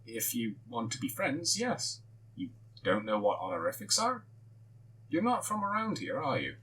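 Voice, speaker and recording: A man voicing a friendly, curious teenage schoolgirl who asks gentle, matter-of-fact questions. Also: mid-range voice, wildly swooping pitch, moderate pace, breathy edge, exaggerated voice, poor audio.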